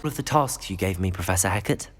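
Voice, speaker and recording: An actor playing an eager, polite young student wizard in a video game, earnest and lightly cheeky. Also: male voice, deep voice, expressive, fast talker, faint music behind.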